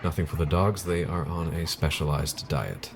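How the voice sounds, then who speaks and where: A man acting a formidable, coolly composed corporate scientist in an urban fantasy audio drama, terse, measured and gravelly. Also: steady tone, slight background noise. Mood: cool, imposing. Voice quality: very deep, gravelly.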